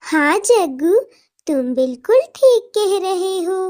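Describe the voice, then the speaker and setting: A woman's voice: very high-pitched, expressive, slow pace, darkish tone, heavily acted. A woman voicing a caring, cheerful little girl bird in a children's cartoon, with an earnest, childlike delivery. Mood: eager.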